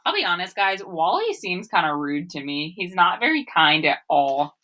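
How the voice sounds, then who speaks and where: A woman's voice: lowish voice, very animated, normal speed, sharp tone. A woman teacher giving a read-aloud phonics lesson, speaking directly to children in an instructional way and sounding words out with emphasis. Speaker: elementary school teacher.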